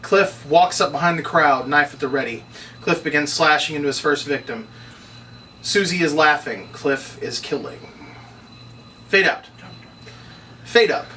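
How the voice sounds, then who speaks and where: A man with a fairly high, very bright, forceful voice narrates stage directions from a script at a table read. He is recorded from a little distance, with faint noise in the room. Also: unhurried, long pauses. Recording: distant microphone, noisy room.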